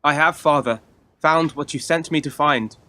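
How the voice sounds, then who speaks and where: An actor playing a dutiful, wary young son of a lord in a radio play, with formal archaic speech. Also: male voice, a little high, moderate pace, sharp tone.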